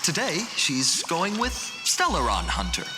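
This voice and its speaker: A man's voice: on the high side, huge pitch swings, measured pace, background noise. A young man playing a good-natured, bashful village youth who dreams of heroism, in video game dialogue. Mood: bashful protest. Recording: reverberant, music behind.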